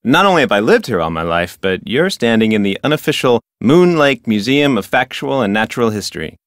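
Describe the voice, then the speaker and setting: An actor playing an officious, dutiful and touchy park ranger in a video game, speaking in a formal, self-important manner. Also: male voice, medium pitch, big pitch swings, a little fast, fairly bright tone, dry recording, clean studio sound. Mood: matter-of-fact, pompous. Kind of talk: video game character dialogue.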